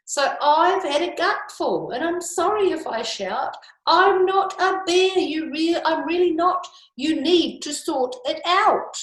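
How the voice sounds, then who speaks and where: A woman's voice: very high voice, lively intonation, slow, a bit bright, roomy sound, voice pushed up, heavily acted, poor audio. A woman voicing a grumpy, indignant male koala from a storybook, blunt and protesting in slangy speech. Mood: exasperated.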